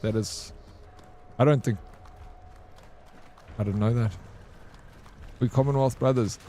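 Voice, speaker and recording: South African man, gaming streamer, relaxed warm tone, casual halting chat, faint noise behind. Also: medium pitch. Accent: South African English. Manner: rambling and hesitant, full of ums and restarts.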